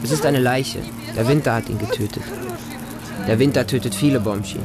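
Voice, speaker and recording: A man's voice: medium pitch, brisk, background noise, close to an everyday voice. A man playing a small, wary homeless boy who narrates his hungry street life in short, simple sentences for a radio drama. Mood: sombre. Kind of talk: radio drama monologue in present tense.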